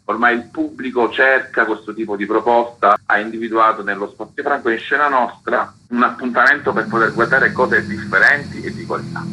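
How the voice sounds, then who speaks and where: A man's voice: mid-range voice, brisk, few pauses, pushed and bright, slight background noise, rough recording. A man giving earnest, articulate, explanatory answers as a guest in a radio interview. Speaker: artistic director of a theatre festival, interview guest.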